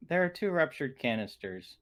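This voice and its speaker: A man playing a tabletop roleplaying game, speaking in character with formal, measured phrasing. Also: fairly high pitch, very animated, a bit bright.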